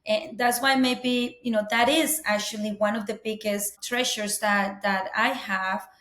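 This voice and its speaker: A woman's voice: mid-range voice, fairly even intonation, measured pace, a bit bright. A woman from Colombia, telling her story earnestly as a guest, often saying "you know". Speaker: guest, business owner. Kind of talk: interview conversation. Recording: roomy echo.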